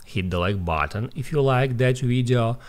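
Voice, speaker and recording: A man hosting a how-to tutorial, narrating step by step with drawn-out fillers between phrases. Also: medium pitch, animated. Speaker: tutorial host.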